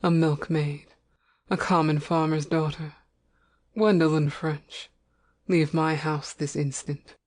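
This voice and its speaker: Haughty, low-voiced noblewoman played by an actress. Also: fairly even intonation, a little mellow. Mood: cold, commanding. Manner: slow, stern, declamatory. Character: haughty, commanding aristocratic mother, cold and imperious. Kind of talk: dramatic audiobook reading.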